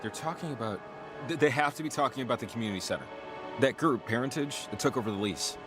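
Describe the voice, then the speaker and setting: A man's voice: medium pitch, fast talker, over music, lightly acted. An actor playing a jittery, well-meaning local man in a video game, with a hesitant, rambling manner. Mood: nervously urgent. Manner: rambling, hesitant, self-deprecating. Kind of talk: naturalistic video game acting.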